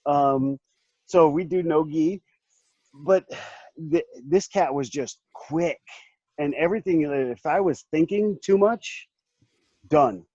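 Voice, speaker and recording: A man's voice: a little high, slow pace, pauses often, dark tone, no room sound, muffled recording. A man in his late thirties, hosting a casual group chat, rambling with many restarts and laughing often. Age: 30s. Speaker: podcast host.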